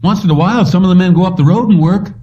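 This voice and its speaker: A man's voice: high voice, very fast, mellow, pitched above the performer's own voice, lightly acted. A man voicing an easygoing young waiter with casual, folksy small-town talk in an audiobook. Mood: casual, helpful. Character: easygoing young male lunch counter waiter. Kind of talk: audiobook character dialogue. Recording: dull lo-fi, distant from mic.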